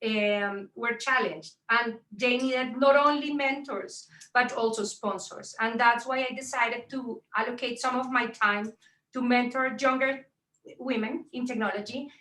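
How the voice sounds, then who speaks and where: A woman's voice: a little high, steady tone, unhurried, sharp tone. A middle-aged woman from Colombia with a strong Colombian Spanish accent and Spanish as her first language, giving an earnest talk at a virtual event, with many fillers and restarts. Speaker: tech entrepreneur and speaker. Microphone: video call. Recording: echoey, distant laptop mic on a video call.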